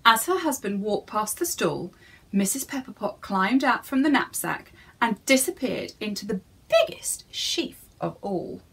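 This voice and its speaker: A woman's voice: mid-range voice, sing-song, unhurried, gravelly, slightly rough, low-quality recording. A woman reading a storybook to young children in a theatrical way, addressing her listeners directly.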